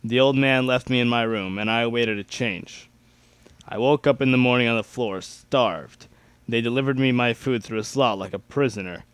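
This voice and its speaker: A young man narrates fan fiction as an amateur dramatic reader. His pitch is average for a man. The recording is dry, with no room sound. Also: moderate pace, fairly bright tone, clean tone, no room sound. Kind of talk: dramatic reading of first-person fan fiction.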